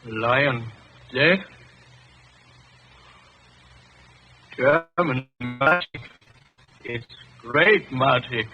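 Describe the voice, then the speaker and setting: An actor playing a fervent young African chief's son, speaking halting broken English in 1940s radio drama style. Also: male voice, medium pitch, soft background music. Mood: awed. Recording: dull old radio broadcast recording.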